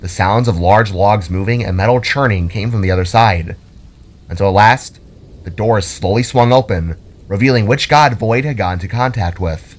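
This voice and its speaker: A man reading scripted fantasy story narration in a dramatic, deliberate storytelling manner. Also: fairly low pitch, slight background noise. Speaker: author and narrator. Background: music.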